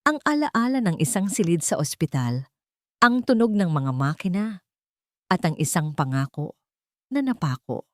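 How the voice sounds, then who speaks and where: A woman narrating a scripted romantic drama story in a measured, deliberate way with dramatic pauses. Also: lowish voice, big pitch swings, dry recording, clean studio sound. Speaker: narrator of romance audio stories.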